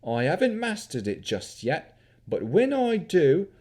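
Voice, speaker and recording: A man voicing a gangly, surly young male wizard with a rough British country yokel accent. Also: a little high, huge pitch swings, unhurried, darkish tone, voice pushed up, heavily acted. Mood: boastful. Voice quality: brash, rough-spoken.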